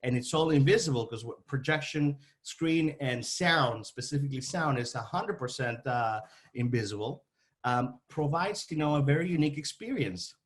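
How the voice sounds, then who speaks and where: A man answering interview questions about his trade in a halting, repetitive way, searching for his words as he explains. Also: medium pitch, expressive. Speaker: audio-visual installation company representative.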